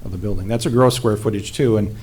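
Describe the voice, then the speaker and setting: A man from the city planning staff, presenting a staff report at a public meeting in a measured, procedural way with frequent fillers. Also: on the low side, darkish tone, breathy edge. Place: city council chamber.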